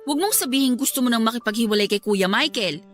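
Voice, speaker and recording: Woman playing a cheeky high-voiced younger sister. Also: lively intonation, fast talker, natural voice. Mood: frank, scolding. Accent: Filipino. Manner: blunt, cheeky, scolding. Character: frank, teasing teenage younger sister, wiser than her age. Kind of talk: Filipino radio drama dialogue. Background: music.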